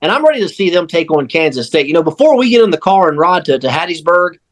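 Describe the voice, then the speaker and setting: A man's voice: a little high, sing-song, quick pace. A man on a sports podcast crew, talking casually and emphatically, repeating phrases to drive points home. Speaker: sports radio crew member and panelist.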